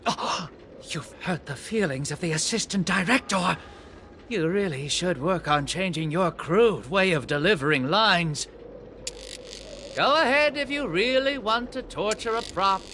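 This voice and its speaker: A man's voice: high-pitched, expressive, a little slow, bright, forceful tone, exaggerated voice. A man playing a sly, flippant showbiz schemer in a video game, taunting with theatrical delivery. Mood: mocking, smug. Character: sly, mocking showbiz schemer addressing others like actors. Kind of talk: dramatic video game voice acting. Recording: faint background noise and game ambience.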